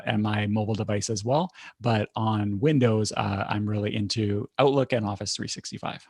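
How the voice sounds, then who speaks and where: A man co-hosting a podcast, chatting in a relaxed way with frequent uhs and restarts. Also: fairly low pitch, gravelly, no echo, clean studio sound. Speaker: podcast co-host. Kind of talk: casual podcast chat.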